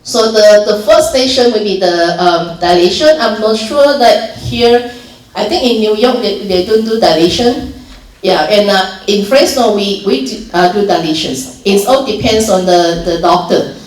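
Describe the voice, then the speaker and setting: A woman's voice: mid-range voice, unhurried. A woman from Malaysia with a Malaysian accent, giving an informal volunteer training talk, explaining steps plainly with many restarts and fillers. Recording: echoey room from far off.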